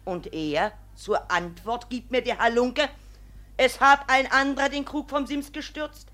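Casting: outraged middle-aged plaintiff mother, bright and fairly high, emphatic stage-classic testimony. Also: a little slow. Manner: emphatic, accusing. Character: indignant, forceful village mother, combative plaintiff. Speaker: actor as plaintiff. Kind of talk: classic German radio play, dramatic testimony.

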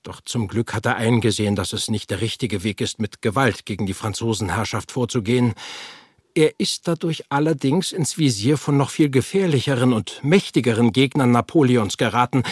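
A man playing a courteous nineteenth-century scholar in an audio drama. He speaks formally and earnestly with old-fashioned gallant turns of phrase. The voice has a slightly husky edge and sits close in a dry studio. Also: mid-range voice, moderate pace, dry recording, lightly acted. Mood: grave. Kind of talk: scripted interview in an audio drama.

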